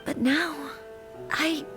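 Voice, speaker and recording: An actress playing a gentle young noblewoman who speaks softly and formally, with hesitation. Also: sits high, exaggerated voice. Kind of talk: game voice acting.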